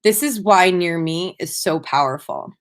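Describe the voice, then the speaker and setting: A woman delivering a prepared marketing presentation as a conference speaker, in a measured, scripted-sounding way with emphatic phrasing. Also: lowish voice, lively intonation.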